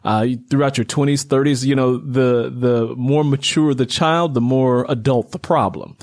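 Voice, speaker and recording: A man's voice: mid-range voice, steady tone, moderate pace. A middle-aged man hosts a casual interview, talking in a rambling, reflective way. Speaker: interview host.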